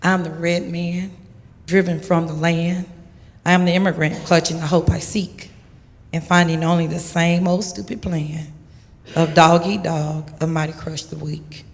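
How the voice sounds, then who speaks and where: A woman giving a dramatic poetry reading with emphatic, deliberate phrasing. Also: fairly low pitch, flat delivery. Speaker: poetry reader. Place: large church hall. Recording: echoey hall.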